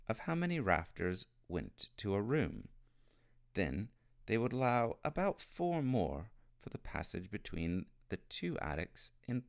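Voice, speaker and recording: A man reading a children's novel aloud as an expressive storybook narrator. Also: sits low, creaky. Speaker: storybook reader.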